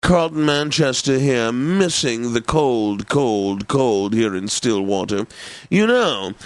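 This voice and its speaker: A pompous, genteel classical station host from a satirical video game. His medium-pitched voice savors each phrase with theatrical, drawn-out announcing, and the sound is dry and close. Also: lively intonation, no room sound. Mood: wistful, wry. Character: pompous, genteel male classical radio DJ, faintly lecherous. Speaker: classical radio DJ. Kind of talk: scripted classical radio announcing, game parody.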